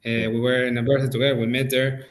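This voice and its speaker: A man's voice: medium pitch, fast talker. Venezuelan man chatting as a podcast guest. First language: Spanish. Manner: relaxed, repeats words and restarts, laughs easily. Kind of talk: casual interview chat.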